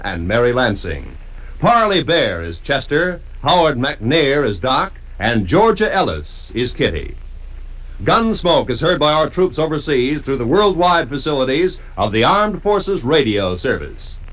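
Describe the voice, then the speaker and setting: A man's voice: on the high side, a bit bright, poor audio. An American man announcing in a formal, polished 1950s network radio style, reading scripted credits with emphasis. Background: music.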